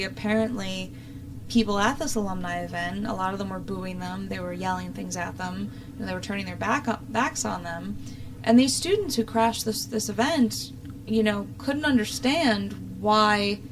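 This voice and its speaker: Young woman commentator, noticeably noisy recording. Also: mid-range voice. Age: young adult. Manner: exasperated, sarcastic. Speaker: talk show host. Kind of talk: opinionated casual political commentary.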